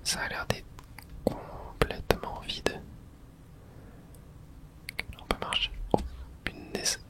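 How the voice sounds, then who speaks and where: A person whispering breathily while narrating their own video game play in an ASMR style, murmuring reactions and mild swearing. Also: takes it slowly.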